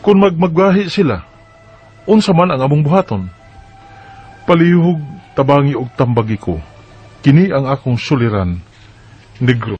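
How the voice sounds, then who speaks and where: Man voicing a troubled Cebuano letter sender, higher-pitched. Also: animated, normal speed, long pauses, breathy edge. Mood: pleading. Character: troubled male letter sender pleading for advice. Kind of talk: scripted dramatized letter reading for radio. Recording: dull lo-fi radio, music underneath. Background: background music.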